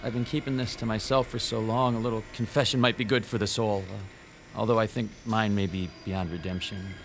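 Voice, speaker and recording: An actor playing a timid, bullied comic shop owner, hesitant and fretful, in a radio drama. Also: male voice, on the low side, steady tone, fairly quick, vocal fry, slight background noise. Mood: weary, confessional.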